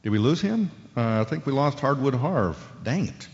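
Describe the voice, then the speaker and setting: A man's voice: medium pitch, sing-song, normal speed. An American man playing a cocky, impatient sports talk radio host in an audio drama, smug and showy. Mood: exasperated. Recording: dull lo-fi audio, long reverb, music.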